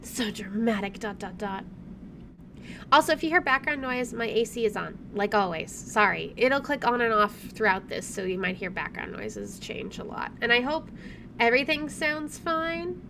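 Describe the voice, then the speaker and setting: High-voiced woman gamer over background noise. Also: lively intonation, moderate pace. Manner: chatty, wry asides, playful. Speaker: gaming video host. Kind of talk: casual playthrough commentary, reading game text aloud. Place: indoors at home, air conditioner running. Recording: clear background air conditioner noise.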